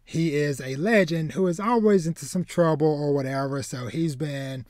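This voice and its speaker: A man in his thirties hosting a podcast in casual chat, animated and rambling, repeating words as he searches for phrasing. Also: a little high, moderate pace, no echo, clean studio sound. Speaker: podcast host. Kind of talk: casual chat, retelling a film plot. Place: home room with bookshelves, indoors.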